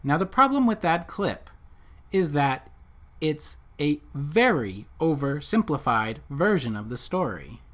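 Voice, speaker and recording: A commentator delivering scripted video commentary and reading from documents, with rhetorical, emphatic and deliberate phrasing. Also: mid-range voice, very animated, a bit bright. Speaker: commentator and narrator.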